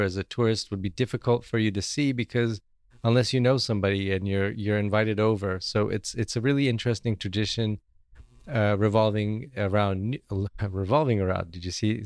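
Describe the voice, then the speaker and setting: Male podcast host, easygoing and chatty, lower voice, dry booth sound. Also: no room sound. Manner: relaxed, friendly, hesitant with fillers. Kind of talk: casual podcast interview.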